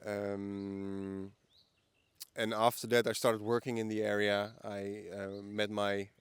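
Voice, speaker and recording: A man's voice: on the low side, little pitch movement, takes it slowly, clear, resonant tone, dry recording. A man in his early forties with a Dutch accent, answering casually as a guest in an interview outdoors. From the Netherlands. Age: early 40s. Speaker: podcast guest. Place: outdoors in a park.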